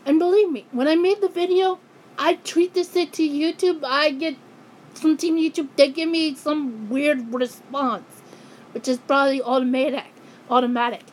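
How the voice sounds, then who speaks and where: A woman ranting angrily in a vlog, hoarse and losing her voice, often breaking off and restarting her sentences. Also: high voice, steady tone, moderate pace, low-quality recording. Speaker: YouTuber.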